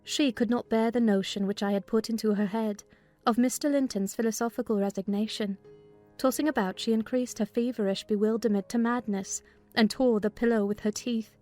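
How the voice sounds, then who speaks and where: A woman plays a sensible housekeeper telling a story from a classic novel. Her fairly high voice is measured in narration and turns firm and scolding in dialogue, over soft music. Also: steady tone, lightly acted. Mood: measured, concerned. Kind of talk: dramatized first-person narration of a classic novel.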